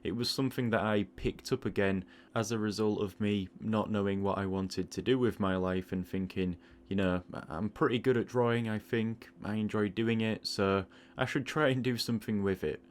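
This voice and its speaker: A man's voice: on the low side, steady tone, moderate pace, few pauses. A man giving a thoughtful, explanatory spoken monologue as an artist hosting an audio log, correcting himself as he goes. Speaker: artist and video host.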